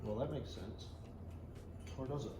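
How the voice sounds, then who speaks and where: A man's voice: mid-range voice, moderate pace, roomy sound, very noisy. A man chatting casually as he hosts a DIY car build vlog, thinking aloud and muttering to himself as he works.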